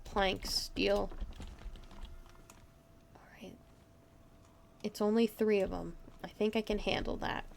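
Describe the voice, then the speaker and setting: A woman giving excitable live gameplay commentary, talking to herself and to her viewers. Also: mid-range voice, slow, gravelly, pauses often, slight background noise. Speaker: game streamer. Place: indoors, streaming at a computer.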